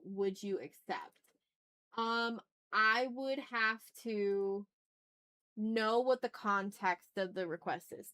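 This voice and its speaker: A woman chatting casually on an art livestream, thinking aloud with self-deprecating remarks and some swearing. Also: medium pitch. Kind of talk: casual livestream chat while drawing.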